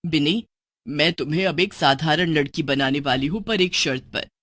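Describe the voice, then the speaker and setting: A woman voicing a loving witch mother, speaking gently but firmly in a children's cartoon story. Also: deep voice, moderate pace, dry recording, pitched below the performer's own voice. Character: loving, protective witch mother with magic powers.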